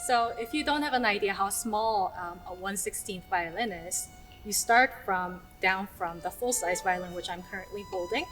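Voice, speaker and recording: A woman's voice: mid-range voice, normal speed, low-quality recording. Woman musician from South Korea over music. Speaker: orchestral violinist. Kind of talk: casual scripted-outline self-introduction to camera.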